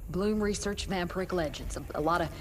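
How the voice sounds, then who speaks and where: An actress playing a pragmatic, skeptical scientist in a video game, with a matter-of-fact, hedging delivery. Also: female voice, fairly low pitch, a little fast, a little hiss or hum, close to an everyday voice. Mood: measured, skeptical. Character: pragmatic, skeptical female scientist explaining her research. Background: music.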